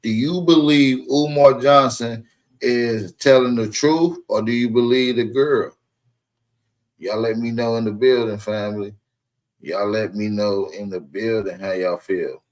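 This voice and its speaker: A man's voice: medium pitch, fairly even intonation, measured pace. A man hosting a reaction livestream, talking straight to viewers in a casual, hyped way. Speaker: host of a reaction channel.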